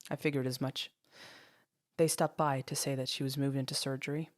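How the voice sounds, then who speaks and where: A kindly male deputy in an audio story, played by a woman. He speaks gently and plainly in a low voice with a dry, close sound. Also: little pitch movement, brisk, no room sound. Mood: gentle.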